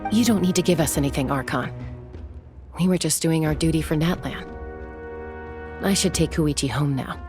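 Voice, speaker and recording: Actress as a dutiful young game heroine, low female voice, no-nonsense, faint music under it. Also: normal speed, long pauses, natural voice. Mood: composed. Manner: level-headed, no-nonsense. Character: serious, dutiful, protective young woman warrior. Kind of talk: video game voice acting.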